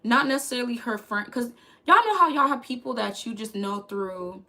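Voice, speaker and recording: A woman's voice: on the high side, lively intonation, moderate pace, pushed and bright. A young woman, telling a candid storytime straight to her viewers. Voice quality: crackly voice. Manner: chatty, candid, talks to viewers as "y'all". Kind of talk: casual storytime vlog.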